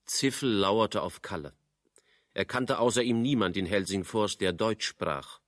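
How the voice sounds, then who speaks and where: Male narrator for radio drama, lowish pitch, literary storytelling, very dry close sound. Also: measured pace, no room sound.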